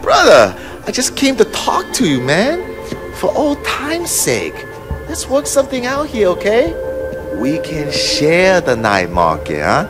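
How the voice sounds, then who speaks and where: Sneering, slow-drawling gangster rival. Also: male voice, sits high, wildly swooping pitch, heavily acted. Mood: mock-friendly. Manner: taunting, drawn-out, punctuated by snickering. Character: sneering, mocking young male gangster rival. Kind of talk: video game cutscene acting. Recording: game audio with music behind.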